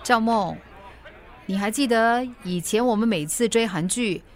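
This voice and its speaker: A woman's voice: on the high side, unhurried, natural voice. An actress in a radio drama playing a stubborn, troubled woman, with a Singaporean accent. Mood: wistful, tipsy. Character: stubborn tipsy woman hiding her serious illness.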